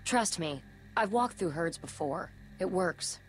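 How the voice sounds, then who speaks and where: An actress playing a calm, detached survivor in a dramatic video game, with a matter-of-fact delivery. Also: female voice, lowish voice, measured pace, faint music behind. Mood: calm, assured. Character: calm, detached adult female survivor of walker herds.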